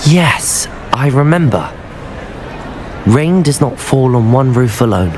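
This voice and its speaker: A man playing an earnest, polite young student wizard in a video game, speaking in a measured way. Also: mid-range voice, animated, background noise. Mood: sympathetic.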